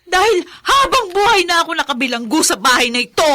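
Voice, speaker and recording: A bitter, worn-out mother in a radio drama. Her voice is very high and piercingly bright, and she delivers her lines with forceful, anguished emotion. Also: big pitch swings, a little fast, exaggerated voice. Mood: bitter, resentful. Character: bitter, exhausted mother resentful of her life. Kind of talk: Filipino radio drama acting.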